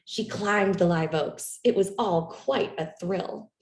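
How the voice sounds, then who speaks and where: A woman reading a children's picture book aloud in an expressive, animated storytelling manner for young listeners. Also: mid-range voice, slow pace. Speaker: storytime reader. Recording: roomy, echoey room sound.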